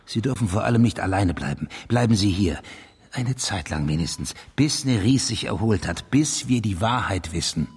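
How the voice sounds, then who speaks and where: An actor playing an insistent senior policeman who also narrates a radio thriller, measured and intense. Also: fairly low pitch, lively intonation, a little mellow, breathy edge, no room sound, full character voice. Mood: concerned. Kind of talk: radio drama dialogue and first-person narration.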